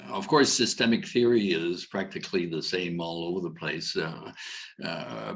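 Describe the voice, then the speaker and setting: Deep-voiced middle-aged man, rambling talk. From Algeria. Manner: rambling, many fillers and restarts. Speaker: coach and conference speaker. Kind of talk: casual conference talk. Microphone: video call.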